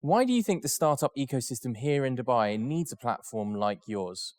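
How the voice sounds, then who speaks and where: A man's voice: mid-range voice, expressive, normal speed, darkish tone, no echo. A man asking questions as the interviewer in a business interview. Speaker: interviewer, moderator.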